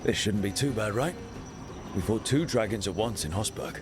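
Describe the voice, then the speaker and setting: An actor playing a supportive, reassuring leader of an adventuring team in a video game. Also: male voice, lowish voice, lively intonation, normal speed, background noise, close to an everyday voice. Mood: curious, wry. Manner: reassuring, encouraging. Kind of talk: video game cutscene acting. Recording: music behind the voice.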